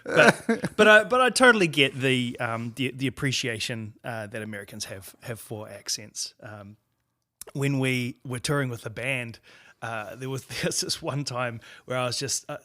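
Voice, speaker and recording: Man, New Zealand accent, bright ringing tone, cheeky storyteller, casual podcast chat. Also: medium pitch, moderate pace. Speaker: guest co-host.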